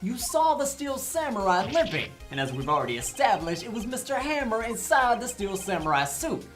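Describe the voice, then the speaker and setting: A woman's voice: fairly high pitch, sing-song, moderate pace, soft background music. A woman voicing a cocky, wisecracking defense lawyer with a flippant, playful manner in a video game fandub. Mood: accusing.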